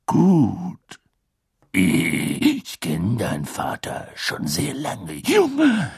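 A gruff old wizard teacher from a fantasy audiobook. He growls in a husky voice and drags his words out slowly. His delivery swoops widely, menacing yet unbothered. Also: lowish voice, wildly swooping pitch, darkish tone, no room sound, studio quality, heavily acted. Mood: menacing, growling.

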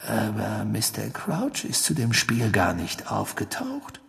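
A brooding man in an audiobook, acted by a male narrator, grave and probing. He speaks slowly and quietly, with a breathy, gravelly huskiness. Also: wildly swooping pitch, in a large hall, full character voice. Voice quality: hushed, breathy, low. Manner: slow, probing questioning.

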